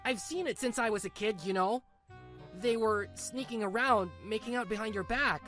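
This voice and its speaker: A woman's voice: a little high, normal speed, fairly bright tone, faint music behind, lightly acted. A woman voicing a blunt, exasperated seventeen-year-old boy in a manga dub. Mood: indignant.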